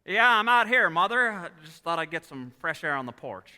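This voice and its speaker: A man acts out an earnest, restless young dreamer in old radio-play style. His voice is very bright and highish, with hesitant starts and emphatic bursts, ringing in a hall. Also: big pitch swings, moderate pace, heavily acted. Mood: subdued. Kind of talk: 1940s radio play acting. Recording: echoey hall, live stage taping.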